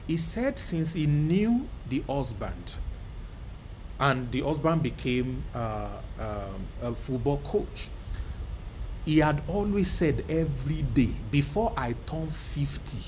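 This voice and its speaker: Male motivational speaker, lively swooping pitch, emphatic repetition, faint noise behind. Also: rough recording. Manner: emphatic, repetitive, with sudden loud exclamations. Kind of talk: motivational talk to an audience.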